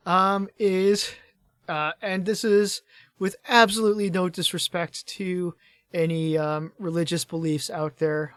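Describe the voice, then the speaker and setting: A man's voice: high-pitched, a bit bright, no echo, studio quality. A man co-hosting a casual gaming podcast, rambling and chuckling as he talks, his voice tired from hours of talking. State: tired voice starting to fade after hours of talking. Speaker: podcast co-host.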